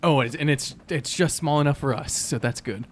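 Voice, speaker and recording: A man's voice: on the high side, a little fast, slight background noise. A man playing a tabletop game, talking casually and joking as he improvises.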